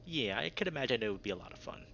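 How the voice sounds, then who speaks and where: A man's voice: mid-range voice, big pitch swings, very fast, gravelly, pushed and bright, dull audio, slight background noise. A man chatting casually on a livestream while reading a visual novel aloud, in an informal way full of "like". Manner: informal, frequent filler "like". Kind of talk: casual livestream chat and read-aloud commentary.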